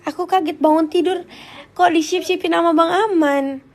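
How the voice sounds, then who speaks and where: A woman's voice: very high-pitched, steady tone, normal speed. A young woman with a voice congested by flu, chatting casually and informally on a livestream as she answers viewers. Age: young adult. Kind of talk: casual chat.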